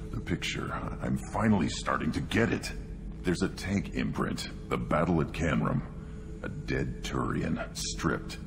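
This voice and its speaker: Game alien brute: young, brutal, intense; rock-bottom growl thick with gravel. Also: male voice, extremely low pitch, lively intonation, full character voice. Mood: brooding fascination. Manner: halting, intense musing. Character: young hulking alien warrior, brutal, brooding, bloodthirsty. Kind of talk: video game character dialogue. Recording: long reverb, music behind.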